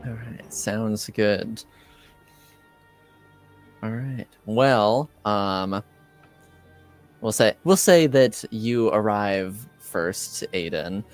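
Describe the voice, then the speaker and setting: A man with a smooth, clear voice narrates a tabletop fantasy game as its game master. He speaks very slowly and deliberately, feeling his way through each description. Music plays behind him. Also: on the low side, animated. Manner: halting, deliberate delivery.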